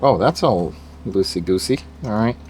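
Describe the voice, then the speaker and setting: A man's voice: on the low side, expressive, moderate pace, slight background noise. Swedish man working in his garage. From Sweden. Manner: talks to viewers while working, thinking aloud. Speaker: car project vlogger. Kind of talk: casual garage work commentary. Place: home garage.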